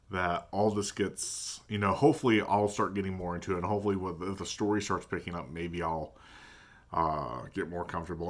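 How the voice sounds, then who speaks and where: A man with a deep voice talking casually in a vlog about his tabletop gaming, thinking aloud and hesitating as he goes. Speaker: tabletop game player. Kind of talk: casual reflective vlog talk.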